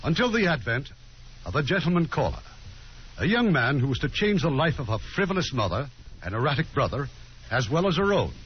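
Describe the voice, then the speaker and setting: A man's voice: on the high side, very animated, a little fast, slight background noise. A man giving a formal, scripted introduction as host of a 1950s radio theater broadcast. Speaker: producer and host. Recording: muffled old radio broadcast, faint music.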